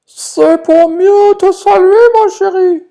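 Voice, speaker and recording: A sly storybook wolf poses as a frail granny. The voice is a very high, thin falsetto, strained and sugary. Words are stretched out loudly to fool a child. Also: fairly even intonation, takes it slowly, gravelly, heavily acted. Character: big bad wolf faking a frail sweet grandma voice.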